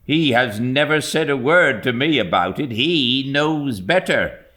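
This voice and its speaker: A loyal, outspoken servant woman, voiced by a man reading aloud. She talks in a homely, emphatic way, louder and higher than his own voice, with a wide, lively sweep of pitch. Her delivery is slow and deliberate. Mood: indignant. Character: warm-hearted middle-aged woman servant, blunt, homely.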